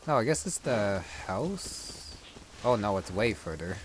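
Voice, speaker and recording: A man casually commenting while playing a game, thinking out loud as he goes. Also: on the low side, very animated, measured pace, slight background noise. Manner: musing, thinks aloud. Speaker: gaming youtuber. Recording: voice over game music.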